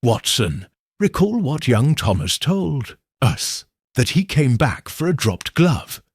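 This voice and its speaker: A man voicing a shrewd, calm Victorian detective who reasons in a measured, precise way, in a husky voice. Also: medium pitch, dry recording, clean studio sound, exaggerated voice.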